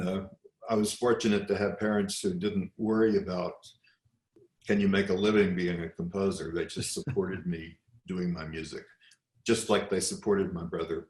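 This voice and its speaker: An older American man from South Texas speaks in a notably low register. He talks reflectively as an interview guest, often doubling back and restarting phrases. The room adds a clear echo around his voice. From South Texas, United States.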